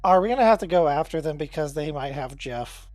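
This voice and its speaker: A joking tabletop player who fires off quick questions and dry sarcasm at a middle pitch. The voice is recorded close and dry, with faint music in the background. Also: animated, a little mellow, no room sound. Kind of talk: casual tabletop game banter.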